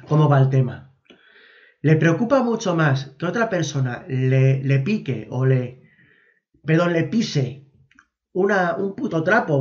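A man with a Spanish accent from Spain, hoarse from a cold, giving blunt, rambling reaction commentary. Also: medium pitch, a little mellow. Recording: dull lo-fi sound.

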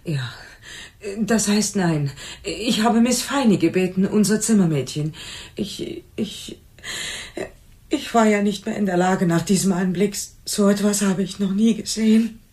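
A woman's voice: mid-range voice, darkish tone, lightly acted. An actress playing a shaken woman on night reception at a motel, hesitant and flustered, in a radio crime play. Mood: shaken, upset. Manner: hesitant, flustered, halting recollection. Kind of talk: German radio crime drama acting.

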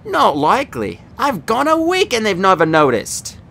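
An odd, earnest teenage girl in a storybook, calmly insisting on things no one else sees, as a narrator puts her on. Her voice is pitched high above the reader's own and stays lively. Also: moderate pace, vocal fry, exaggerated voice. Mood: insistent.

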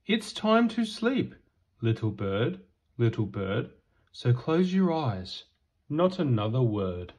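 A man reading a children's bedtime picture book aloud in a gentle, singsong rhythm. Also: medium pitch, big pitch swings, takes it slowly.

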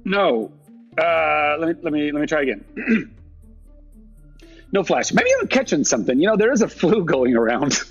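High-voiced, flustered young male spy. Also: big pitch swings, normal speed, pauses often, husky, lo-fi sound, soft background music, full character voice. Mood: nervous, defensive. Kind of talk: comedic TV script table read.

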